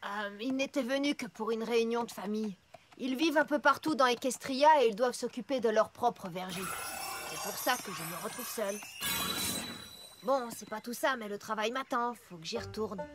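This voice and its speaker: A woman's voice: fairly high pitch, moderate pace, long pauses, natural voice. A woman voicing a hardworking, stubborn, folksy young farm pony in a children's cartoon. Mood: busy, weary. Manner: folksy, plain-spoken, headstrong. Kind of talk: French-dubbed children's cartoon acting. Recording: music bed behind the voice.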